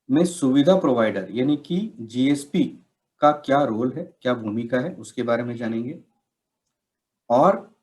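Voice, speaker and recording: Slow-paced man presenting a training session. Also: medium pitch, a little mellow. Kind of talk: scripted instructional presentation with slides.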